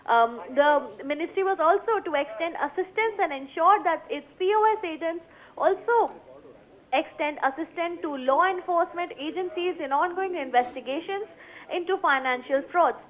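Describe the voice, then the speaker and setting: A woman with an Indian accent giving a live news report, reading formally and haltingly from notes. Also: extremely high pitch, lively intonation. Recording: narrow, phone-like sound.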